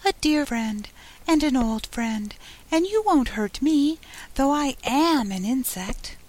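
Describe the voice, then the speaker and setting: A woman's voice: high-pitched, animated, takes it slowly, a little mellow, voice pushed up, heavily acted, poor audio. A woman voicing a tiny, timid, unhappy gnat with a small, confiding voice in a storybook reading. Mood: timid, pleading. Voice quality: extremely small voice.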